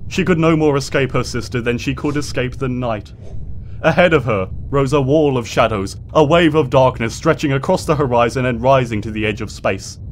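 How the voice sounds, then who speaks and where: A man's voice: mid-range voice, slight background noise. A man reading fiction aloud as a narrator, in a dramatic scripted storytelling style. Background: ambient sound effects.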